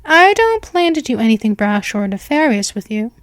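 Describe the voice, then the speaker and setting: A woman's voice: on the high side, sing-song, moderate pace, dry recording, studio quality. A woman voicing an ancient, seductive female vampire mistress in a hypnosis roleplay, talking in a soothing, coaxing way. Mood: reassuring.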